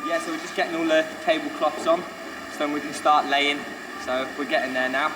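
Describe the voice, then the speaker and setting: A man's voice: on the high side, level tone, a little fast, loud music behind the voice. A man, a trainee supervisor, talking in an upbeat, self-assured way with restarts while he works. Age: 20s. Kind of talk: documentary interview and on-the-job talk. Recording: roomy space with clear background noise.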